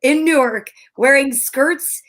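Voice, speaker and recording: A woman's voice: high-pitched, takes it slowly, a bit bright. A woman cohosting a casual video call show, teasing and playful as she talks. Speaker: host.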